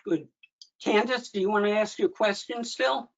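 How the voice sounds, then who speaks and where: A man's voice: high voice, normal speed. Courteous male moderator on a muffled call. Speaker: meeting moderator. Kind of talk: moderating an online meeting.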